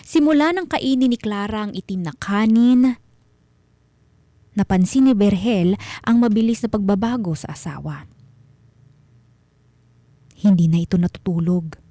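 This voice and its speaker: A woman with a Filipino accent narrating scripted horror stories as a radio host, in a deliberate, dramatic manner. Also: medium pitch, expressive, mellow, dry recording.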